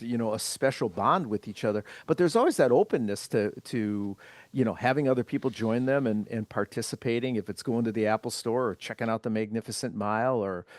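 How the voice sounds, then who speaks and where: A man's voice: mid-range voice, darkish tone, no echo. A man speaking as a guest on a podcast, in an enthusiastic, upbeat way. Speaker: podcast guest, event volunteer. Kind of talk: casual podcast chat.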